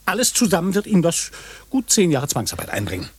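A man's voice: sits high, wildly swooping pitch, quick pace, husky, full character voice. An actor playing a pompous, bullying policeman who interrogates in mock-formal, indignant tones in a satirical radio play. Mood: threatening, officious.

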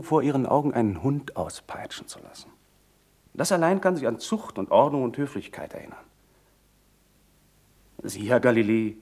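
Husky, haughty young nobleman played by a man. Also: medium pitch, a little mellow, natural voice. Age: young adult. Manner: formal, measured, lecturing. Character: haughty young aristocratic landowner, pious, condescending. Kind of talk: German radio play acting.

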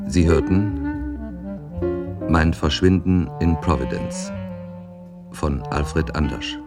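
A man's voice: a bass voice, takes it slowly. A man reading the credits of a radio play as a formal broadcast announcer. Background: woodwind music.

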